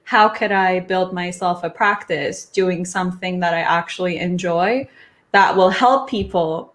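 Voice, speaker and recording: A young woman from Iran, an immigration lawyer, talking casually as an interview guest, laughing often and restarting her sentences. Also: lowish voice.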